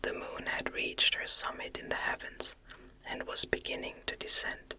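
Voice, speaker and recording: A narrator reading a gothic novel in a soft, breathy ASMR whisper, gentle, soothing and deliberate. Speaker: audiobook narrator.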